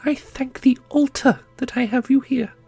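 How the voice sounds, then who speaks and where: A woman playing a grave, caring custodian who mourns a lost brother, speaking formally and haltingly in a fantasy audio roleplay. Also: high voice, gravelly, warm tone, breathy edge, heavily acted. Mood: grieving, fragile. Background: orchestral music.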